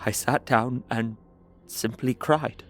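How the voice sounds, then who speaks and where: A man's voice: medium pitch, steady tone, slow pace, fairly bright tone, slight background noise. A man playing a frightened, despairing young prisoner who narrates his journal earnestly and intensely in an audio drama. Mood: hushed dread.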